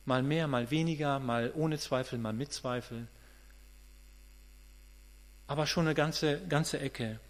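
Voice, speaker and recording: A man's voice: mid-range voice, takes it slowly, pauses often. Earnest man in his fifties preaching. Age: 50s. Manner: earnest, conversational, repeats words as he thinks aloud. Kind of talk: sermon. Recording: reverberant hall with mains hum.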